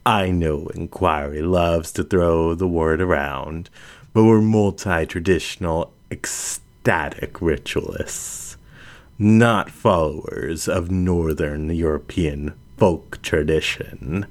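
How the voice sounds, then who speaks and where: Guy doing composed lioness lady for an audiobook, real low n slow, measured, in charge. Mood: amused, composed. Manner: measured, even, deliberate. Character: composed lioness mystic, poised older dominant woman. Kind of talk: audiobook character dialogue.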